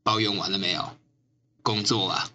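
A man's voice: low-pitched, normal speed, a crowd in the background, close to an everyday voice. A man playing a stern, coldly logical riot-squad captain in an amateur radio drama, speaking in curt commands. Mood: curt, impatient.

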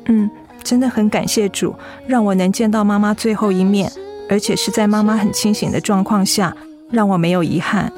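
An actress playing a devoted, tender and anxious young adult daughter in a Mandarin radio drama. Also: female voice, medium pitch, steady tone, normal speed, darkish tone, faint music behind, natural voice. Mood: composed, grateful.

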